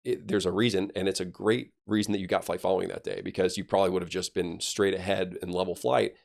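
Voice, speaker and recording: A man's voice: low voice, level tone, quick pace, creaky, a little mellow. A man talking as an air traffic controller guest on a podcast, explaining things conversationally and with emphasis.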